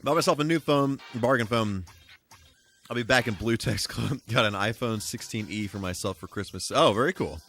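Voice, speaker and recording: A man hosting a casual, rambling game livestream, animated and emphatic, repeating himself for emphasis. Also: fairly low pitch, moderate pace. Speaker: live streamer and host. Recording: music playing behind the voice. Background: music.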